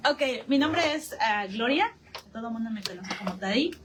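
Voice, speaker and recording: A woman's voice: a little high, animated, moderate pace. A woman with a Mexican accent giving a spontaneous personal account as a participant in a community discussion. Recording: echoey room, distant mic, faint noise.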